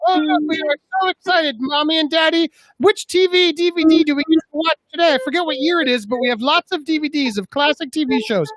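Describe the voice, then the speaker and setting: A man voicing a giddy, excited little girl with a squealing manner. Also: very high-pitched, fast talker, few pauses, rough recording.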